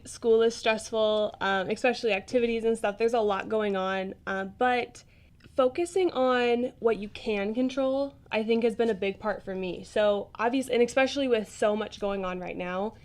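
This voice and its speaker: A woman's voice: fairly high pitch, measured pace. A young woman chatting casually as a podcast guest, with an earnest, encouraging way of talking. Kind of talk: casual interview chat.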